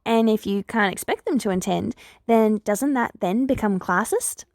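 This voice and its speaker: A woman's voice: medium pitch, moderate pace, no room sound, clean studio sound, natural voice. A woman voicing an earnest, eager older girl, a polite and persuasive hero student in a story.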